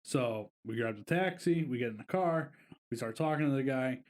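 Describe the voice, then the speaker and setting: A man with a mid-range voice chats on a relaxed podcast. He wanders through a travel story at an easy pace, leaning on filler words as he goes. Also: mid-range voice, moderate pace. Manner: rambling, easygoing storyteller with frequent fillers. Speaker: podcast co-host. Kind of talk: casual podcast chat.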